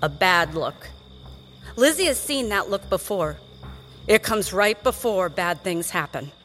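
A woman's voice: lowish voice, expressive, a little slow, fairly bright tone, soft background music. A woman narrating a children's drama in a scripted, suspenseful and emphatic storytelling style.

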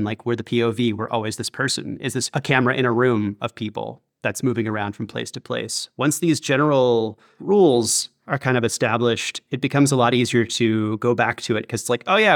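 A man's voice: medium pitch, no room sound, studio quality. A man talking casually as a podcast guest about producing audio drama, in an interview style.

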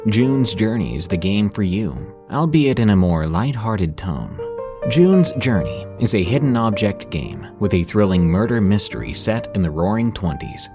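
A man hosting a podcast, reading a scripted sponsor ad with dramatic flair. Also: fairly low pitch. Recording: loud guitar music behind voice. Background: guitar music.

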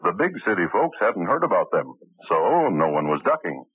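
A man's voice: sits low, big pitch swings, moderate pace, husky, no echo, full character voice. A man narrating a crime story with a wry, storytelling manner in an old radio drama. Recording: tinny old radio broadcast, faint hiss.

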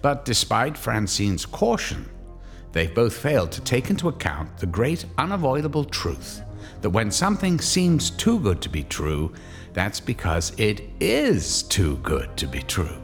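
A knowing male narrator of a suspense radio play. His fairly low voice moves expressively as he sets out the tale with measured foreboding, over faint music.

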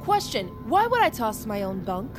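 A defiant young female army recruit with a fairly high voice. She is blunt, profane and sarcastic, in a naturalistic audio drama with music behind her. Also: big pitch swings, normal speed. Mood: defensive, sarcastic. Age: young adult.

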